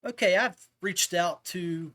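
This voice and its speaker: A man's voice: high-pitched, takes it slowly, bright, forceful tone. A man casually hosting a podcast, talking directly to his listeners, with music behind him. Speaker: podcast host.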